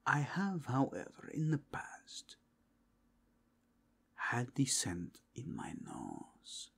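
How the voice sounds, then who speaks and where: A slow, husky inquisitor played by a man for a fantasy ASMR roleplay. He is a suave churchman, formal and insinuating, with whispery breath and pitch that swoops widely as he probes. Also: very animated, a bit bright, heavily acted. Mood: intrigued, hushed. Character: suave, probing male cardinal of a fantasy Inquisition.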